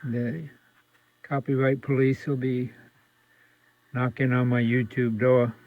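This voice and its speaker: A man giving a casual, halting, matter-of-fact hands-on demonstration as a radio repairer. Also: mid-range voice, little pitch movement. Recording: muffled, very noisy, radio static and hum. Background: antique radio static and interference.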